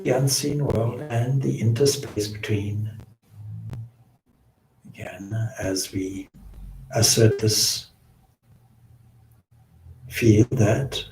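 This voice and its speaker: A man leading a guided meditation as a swami, with measured, solemn phrasing and frequent pauses. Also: on the low side, warm tone, slight background noise. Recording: roomy, echoing indoor sound.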